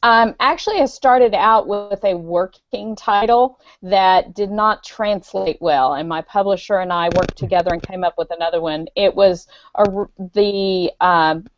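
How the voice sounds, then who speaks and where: A woman's voice: medium pitch, unhurried, few pauses, dull audio, slight background noise. A woman author talking casually on a podcast over an online call, explaining earnestly with fillers. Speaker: guest author. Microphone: video call.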